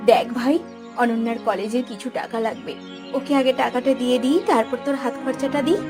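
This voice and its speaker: A woman's voice: a little high, a little fast, over music, lightly acted. A woman voicing a burdened, caring elder sister in a village cartoon, emotional in a pleading and scolding way. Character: burdened, caring eldest sister running a poor village household. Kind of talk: melodramatic cartoon voice acting.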